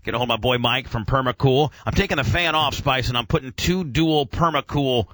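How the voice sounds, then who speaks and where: A man's voice: medium pitch, brisk, a bit bright, dry recording. A man hosting a casual motoring radio chat, rambling enthusiastically in technical gearhead jargon with mild swearing. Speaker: radio show host.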